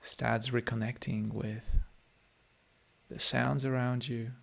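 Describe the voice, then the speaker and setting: Gravelly-voiced man with a Polish accent. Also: medium pitch, level tone, slow pace, fairly bright tone. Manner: speaks in short phrases addressed straight to listeners. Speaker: meditation teacher. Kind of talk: guided meditation and live-stream chat.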